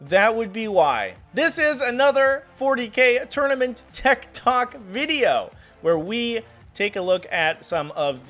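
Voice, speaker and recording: A young man with a slightly hoarse voice and a bright, forceful tone hosts a tabletop gaming show. He talks in a chatty, upbeat way, his pitch swinging lively up and down over soft background music. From New England, US. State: hoarse from a cold. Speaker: host. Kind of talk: tabletop game tournament commentary.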